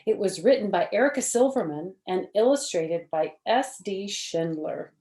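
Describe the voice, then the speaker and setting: A woman storyteller reading a picture book aloud for children in an expressive, deliberate, teacherly way. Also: medium pitch. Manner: expressive, deliberate, simple phrasing. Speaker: storyteller, first-grade teacher.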